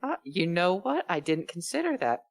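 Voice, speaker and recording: A man chatting casually in a fan fiction reading group, making deadpan jokes. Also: high voice, normal speed, no room sound. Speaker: fan fiction podcast reader. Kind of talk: casual joking discussion.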